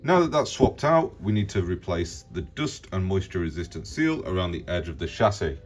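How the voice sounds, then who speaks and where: Low-pitched man giving instructions. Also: sing-song, normal speed, slightly rough. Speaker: repair tutorial host. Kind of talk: step-by-step instructional narration.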